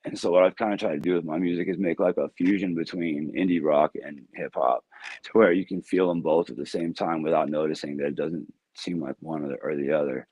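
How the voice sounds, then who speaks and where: A man with a deep, low voice talks about his music in a casual, rambling way. He answers easygoing and laughs easily. The sound is dry and close, with no room echo. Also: steady tone, a little fast, a little mellow, husky, highs cut off. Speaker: music artist guest.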